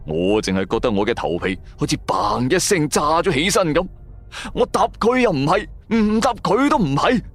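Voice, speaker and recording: A man's voice: a little high, big pitch swings, normal speed. A man with a Cantonese accent narrates a scripted first-person story in a colloquial, dramatic storytelling manner. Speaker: storyteller performing an audio drama. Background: background music.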